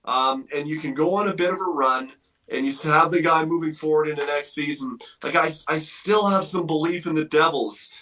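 Bright-voiced man, slightly distant, talking hockey. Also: a little high, normal speed. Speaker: hockey analyst guest. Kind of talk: radio sports interview, opinionated analysis.

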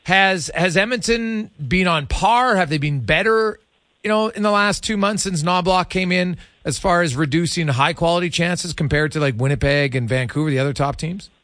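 A male sports radio host with a fairly high, bright and forceful voice. He interviews conversationally, breaking up his sentences as he works through numbers. The sound is close and dry. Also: moderate pace, dry recording.

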